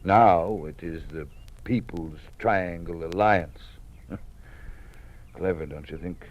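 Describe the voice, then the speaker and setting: An actor playing a weary, cautious old college dean in an old-time radio drama, speaking in a measured, formal, fatherly manner. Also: low voice, muffled recording. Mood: cautioning, confiding. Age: older. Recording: noisy vintage radio drama recording.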